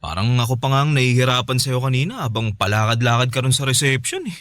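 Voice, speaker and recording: A man's voice: medium pitch, animated, fast talker, fairly bright tone, no echo, clean studio sound, natural voice. An actor playing an easygoing, teasing and caring Filipino boyfriend in his thirties in a radio drama. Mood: relaxed.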